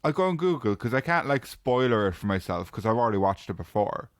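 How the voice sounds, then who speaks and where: A man's voice: medium pitch, big pitch swings, quick pace, no echo, clean studio sound. A young Irish man chatting casually with friends on a podcast, joking and laughing as he tells stories. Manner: jokey, banters with friends, laughs while telling stories. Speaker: podcast co-host. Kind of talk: casual chat.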